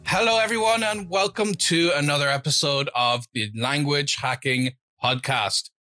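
A man's voice: on the high side, moderate pace, pushed and bright, soft background music, low-quality recording. A man hosting a podcast who welcomes listeners, introduces his guest and asks friendly, open questions about his life. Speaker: podcast host. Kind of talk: podcast interview.

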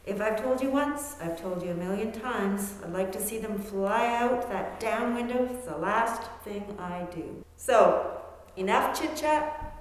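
A woman plays a determined, excitable woman of fifty-eight in a hospital bed, in a radio play. The sound is very echoey, with a long reverb tail like a hall. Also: mid-range voice, unhurried, a little hiss or hum, close to an everyday voice. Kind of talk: stage-style radio play acting.

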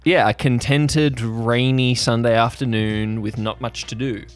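A man acting as game master, improvising descriptive scenes in a halting way, addressed to the players. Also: medium pitch, steady tone.

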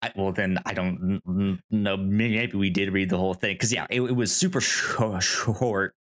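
Low-voiced man, halting casual podcast talk. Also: steady tone, fairly bright tone. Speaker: podcast co-host. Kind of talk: casual chat.

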